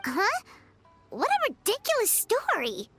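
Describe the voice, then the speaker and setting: A woman voicing a small, childlike fairy companion in a video game, squeaky and chatty, referring to herself in the third person. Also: wildly swooping pitch, a little slow, fairly bright tone, soft background music, heavily acted. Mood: indignant, skeptical. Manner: speaks of self in third person.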